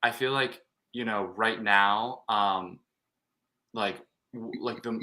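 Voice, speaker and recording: A man's voice: on the low side, fairly even intonation, slow, bright, forceful tone. A young man chatting casually with his grandmother, rambling and hesitant, filling his talk with "like" and restarts. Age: young adult. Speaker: grandson, guest. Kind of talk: casual chat.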